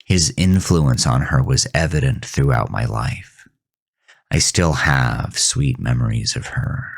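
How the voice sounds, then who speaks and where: A man acts out a haunted, bitter farmer confessing a horror tale in an audio drama. His voice sits extremely low, with a heavy gravelly fry. He tells it slowly and gravely, brooding over each phrase. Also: full character voice. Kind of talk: scripted first-person horror narration.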